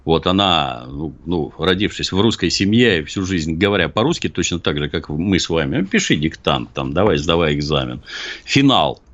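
A man with a very deep, low voice speaks as a guest on a political talk show. He argues with irony and acts out the people he quotes. The sound is dull and lo-fi. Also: expressive, moderate pace, fairly bright tone. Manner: ironic, argumentative, acts out quotes.

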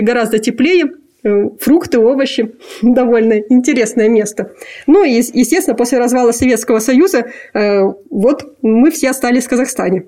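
Hesitant young woman, soft warm voice. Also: on the high side. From Kazakhstan. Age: young adult. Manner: hesitant, many fillers and pauses. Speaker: interviewee, PhD student. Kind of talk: casual conversation.

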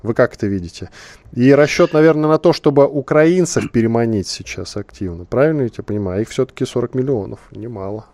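Mellow-voiced male talk show host. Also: medium pitch, fast, dry recording. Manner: poses questions to guest, reads out news items.

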